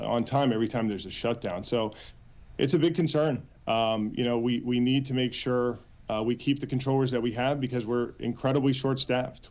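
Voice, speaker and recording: An American man with a mid-pitched voice, speaking as an official being interviewed on the news. He is earnest and halting, often repeating words as he builds a sentence. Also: a little mellow. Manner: halting, hesitant phrasing with frequent repeats, earnest. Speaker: union official, interview guest. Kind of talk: spoken news interview answers.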